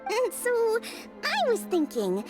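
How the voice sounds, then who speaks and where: A woman's voice: very high voice, wildly swooping pitch, slow, music in the background, exaggerated voice. A woman playing a bubbly, eager teenage girl cook in a video game, with a girlish voice and chatty, enthusiastic manner. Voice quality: bright, girlish.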